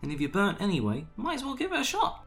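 A man's voice: sits high, fast, soft background music, voice pushed up, full character voice. A man voicing an eager, brave little bug-like boy in a storybook reading. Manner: excited, earnest.

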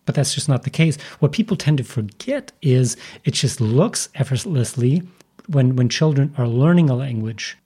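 A man's voice: medium pitch, expressive, normal speed, dark tone, husky. A man hosting a podcast, making an earnest argument before asking his guest a question. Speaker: podcast host. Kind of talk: podcast interview.

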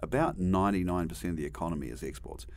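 A man's voice: deep voice, lively intonation, racing, darkish tone, a little hiss or hum. A middle-aged man from New Zealand with a New Zealand accent, a guest telling stories in a rambling way, with many restarts and dry humor. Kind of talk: conversational interview storytelling.

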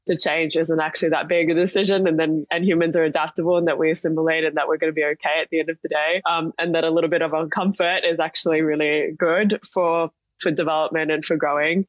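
A woman's voice: low voice, no echo. Young woman guest with dry, close sound. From Singapore, partly Australia. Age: young adult. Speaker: podcast guest, startup chief executive. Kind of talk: conversational interview storytelling.

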